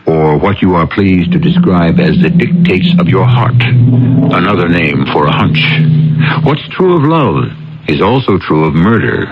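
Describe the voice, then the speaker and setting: A man with a deep voice narrates as host of a mystery radio series. His delivery is measured and dramatic, full of rhetorical questions and wide swings in pitch. The recording is dull, like an old broadcast. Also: animated, noisy background. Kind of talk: theatrical scripted radio narration.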